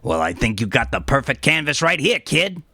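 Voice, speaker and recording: A man's voice: medium pitch, big pitch swings, moderate pace, vocal fry, pushed and bright, no echo, pitched above the performer's own voice, exaggerated voice. A man voicing a sarcastic male gangster sidekick in an audiobook, needling and blustery, with profane dry remarks. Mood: egging on. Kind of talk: audiobook character dialogue.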